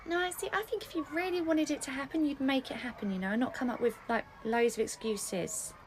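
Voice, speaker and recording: A woman's voice: sits high, fairly quick, slight background noise, natural voice. A woman playing a smug, self-satisfied young mother who talks in a condescending, preachy way.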